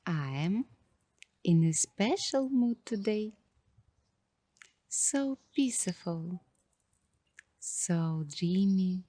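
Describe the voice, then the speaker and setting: A woman hosting a guided coloring ASMR session in a soft, hushed voice, speaking dreamily in short, halting phrases. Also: lowish voice, animated, no echo. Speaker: ASMR host.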